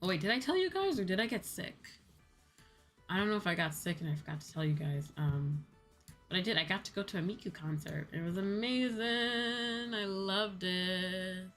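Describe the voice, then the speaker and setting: A woman's voice: medium pitch, expressive, moderate pace, a bit bright. A woman chatting casually and in a rambling way with viewers on a livestream. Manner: rambling, conversational, casual swearing. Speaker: VTuber livestreamer. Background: background music.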